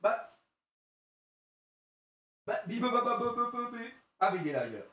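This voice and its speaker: Lively, jokey man streaming card openings. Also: very high-pitched, normal speed. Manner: animated and jokey, laughs often, plays on words. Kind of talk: casual live-stream chat.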